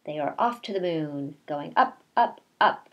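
A middle-aged woman, a kindergarten teacher, reading a picture book aloud in a deliberate, expressive way with asides to young children. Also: deep voice, lo-fi sound. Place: small bedroom at home, indoors.

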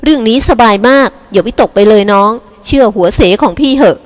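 A woman's voice: a little high, expressive, fairly quick, in a large hall, close to an everyday voice. A woman voicing a cheerful, confident young older sister who talks in a breezy, reassuring way, in a storybook reading.

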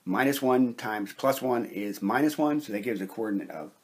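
A man's voice: mid-range voice, animated, moderate pace, breathy edge. A man narrating a methodical, step-by-step whiteboard lesson as a science lecturer, explaining each point in turn. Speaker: chemistry lecturer.

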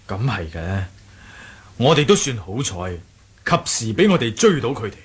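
Very raspy, loyal sect follower. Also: male voice, medium pitch, big pitch swings, normal speed. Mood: admiring, relieved. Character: deferential male martial sect follower, admiring and loyal. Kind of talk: Cantonese radio drama acting.

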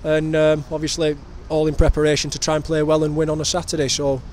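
Guy in his 30s doing a post match interview, kinda high flat voice, outdoor noise behind. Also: fast talker. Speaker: professional footballer. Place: outdoors outside a stadium shop. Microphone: handheld interview microphone. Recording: noisy outdoor street recording.

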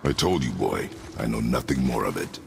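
An actor playing a stern, stoic warrior father in a video game, terse and measured, with formal phrasing. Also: expressive, a little hiss or hum, heavily acted. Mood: stern, restrained. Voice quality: deep, gravelly. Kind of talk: video game character acting.

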